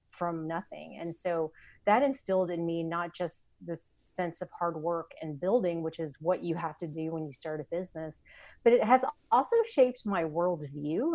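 A woman's voice: lowish voice, no room sound. A woman in her forties, a company founder, talking earnestly in an interview with many fillers. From Vietnam, raised in the United States. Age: 40s. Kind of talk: conversational interview.

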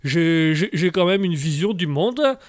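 A man's voice: on the high side, lively intonation, moderate pace, no echo, studio quality, voice pushed down, close to an everyday voice. A man voicing an indignant male Québécois with a mock Québécois accent and Québécois swearing.

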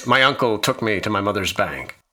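A man's voice: lowish voice, animated, fairly quick, a crowd in the background, close to an everyday voice. A man acting in a radio drama as a haunted young college student, uneasily recounting horrors. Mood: nervous, subdued. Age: young adult.

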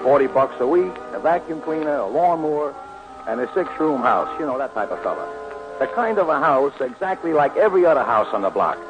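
A man's voice: medium pitch, big pitch swings, brisk, lightly acted. An actor playing a down-on-his-luck, wisecracking New gambler who tells his tale colloquially in a 1940s radio comedy. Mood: wry narrating. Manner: streetwise, ungrammatical, colloquial storytelling. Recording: muffled old 1940s radio broadcast, music.

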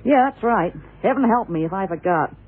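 A defensive theatre dresser in an old radio thriller. Her voice is heavily roughened and animated, with colloquial, emphatic phrasing. It comes through thin and tinny. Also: medium pitch, brisk. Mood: defensive, flustered. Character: defensive, plain-spoken female theatre dresser. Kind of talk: 1960s-70s radio drama acting.

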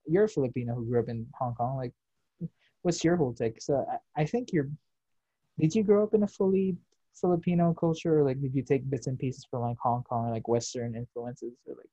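A young man, talking loosely and at length. His voice sits dark and mellow, with a husky edge to it. He rambles through fillers and drops casual swears. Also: mid-range voice, fairly quick, no room sound, highs cut off. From United States. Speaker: podcast host. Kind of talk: casual chat.

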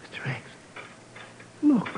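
An actor playing an excitable, bumbling male sidekick in a 1950s radio drama, nervous, repetitive and given to stammering. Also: takes it slowly, in a whisper, natural voice. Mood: baffled. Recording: noisy, crackly 1950s radio recording.

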